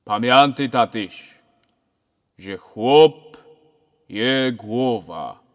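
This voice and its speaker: A man acts as an older Kashubian village priest in a radio play, jovial and preachy. He delivers folksy advice very slowly, in a sing-song sermon manner with a Kashubian accent. His words ring in a long churchlike reverb. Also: mid-range voice, animated. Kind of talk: amateur radio-play acting. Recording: very reverberant hall-like sound.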